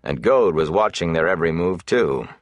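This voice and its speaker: A man with a very low voice narrates a fantasy novel. His reading is dramatic and scripted, captured close up with a very dry sound. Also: expressive, normal speed, dry recording. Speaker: audiobook narrator.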